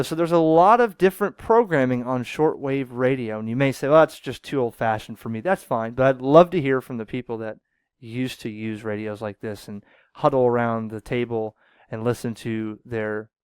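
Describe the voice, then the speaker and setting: A man's voice: mid-range voice, normal speed, clear, resonant tone, no room sound, studio quality. A man hosting his ham radio channel, talking casually and rambling as he tunes the dial, correcting himself as he goes. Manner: rambling, self-correcting, chuckles often. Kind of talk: casual radio demo talk.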